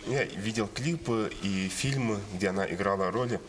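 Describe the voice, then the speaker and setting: Halting, low-voiced man director. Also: a little hiss or hum. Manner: halting, self-deprecating with laughs. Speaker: film director. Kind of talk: TV interview.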